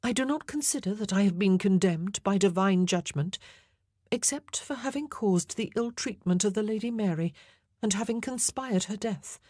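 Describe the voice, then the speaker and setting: A woman reading an audiobook, voicing a frightened young queen awaiting execution who pleads in breathy whispers. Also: mid-range voice, normal speed, a little mellow, dry recording, clean studio sound, pitched above the performer's own voice, close to an everyday voice. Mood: wistful. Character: doomed young queen, frightened, faithful, fragile.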